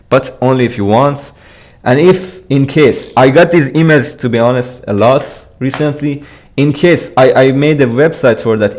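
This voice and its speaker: A young man from Iran talks through programming solutions on a live stream at a desk microphone. His voice sits at an ordinary middle pitch, and his delivery is loose and hesitant, full of restarts and little laughs as he answers chat. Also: darkish tone. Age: young adult. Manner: casual, hesitant, restarts sentences, laughs while reading chat.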